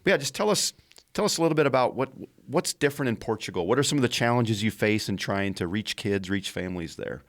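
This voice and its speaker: A middle-aged man hosting a casual podcast, rambling and halting with frequent restarts. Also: lowish voice, animated. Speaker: podcast host.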